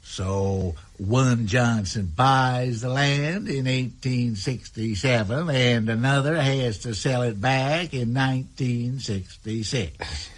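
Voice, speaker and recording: American president, irascible, unhurried delivery, blunt, radio drama. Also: male voice, medium pitch. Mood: grim, dry. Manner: blunt, exasperated, swearing. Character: irascible American president in a Cold War crisis.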